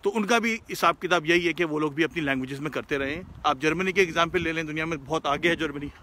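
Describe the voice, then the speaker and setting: A man's voice: a little high, fast, bright, forceful tone, a little hiss or hum. A man with a Pakistani Urdu accent hosting a live question session, talking earnestly and casually while out on the road, mixing in English words. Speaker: host answering viewer questions. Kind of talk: casual live-stream talk. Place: outdoors, driving past shops.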